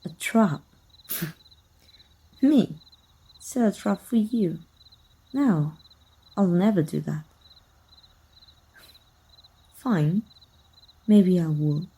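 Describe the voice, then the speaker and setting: Slow, husky woman acting a sly vampire hunter. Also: lowish voice, warm tone, heavily acted. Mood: taunting. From Mexico. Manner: slow, deliberate, mock-sweet and menacing. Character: sly, taunting, sadistic female vampire hunter, manipulative estranged sister. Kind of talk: ASMR roleplay acting.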